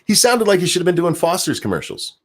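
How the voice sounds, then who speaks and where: A man hosting a podcast, chatting casually and reading news articles aloud with a jokey, animated delivery. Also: sits high, fast talker. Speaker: podcast host.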